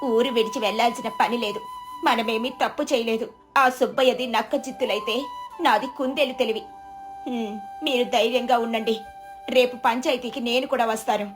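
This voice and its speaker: A woman voicing a clever village farmer's wife in a moral story, earnest and expressive, worried yet brave. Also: on the high side, moderate pace, over music, close to an everyday voice. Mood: firm, reassuring. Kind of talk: dramatized Telugu moral story.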